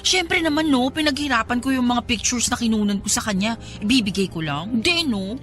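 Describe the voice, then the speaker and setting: A young Filipino student in a radio drama, smitten, cheeky and easily flustered. Her voice is bright and swoops about expressively, with music behind it. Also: fairly high pitch, expressive, a little fast. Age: young adult. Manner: flustered, stammering when nervous, giggly. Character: lovestruck, cheeky young female photography student. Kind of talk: Tagalog radio drama acting.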